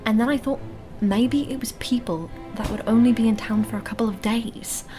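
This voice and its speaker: A composed, suspicious female adventurer, played by an actress reasons formally in a fairly high voice. The delivery is measured fantasy audio drama. Music runs clearly underneath. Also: dark tone, lightly acted. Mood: wary, thoughtful. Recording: music behind the voice.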